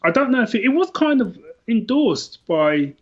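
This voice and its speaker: A man's voice: extremely high pitch, very animated, normal speed, husky, dull audio. A man talking casually and colloquially about books as a podcast guest. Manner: informal and colloquial. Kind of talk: casual book chat.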